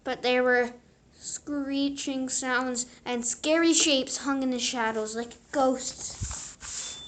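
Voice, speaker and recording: A woman reading a children's storybook aloud with deliberate phrasing and punchy sound-effect words. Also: sits high, fairly even intonation.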